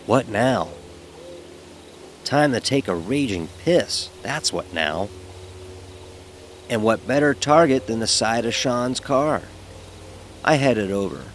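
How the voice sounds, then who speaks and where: A man's voice: medium pitch, a little hiss or hum. An actor playing a frightened, sarcastic young fraternity pledge who narrates his story in a wry, vivid, emotionally charged way. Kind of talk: first-person dramatic horror audio narration. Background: music.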